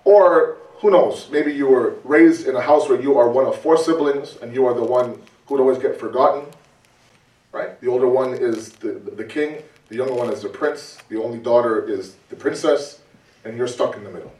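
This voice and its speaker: A man giving a conversational religious talk, animated and full of rhetorical questions and repetition. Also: medium pitch, normal speed. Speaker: preacher. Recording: roomy, distant mic.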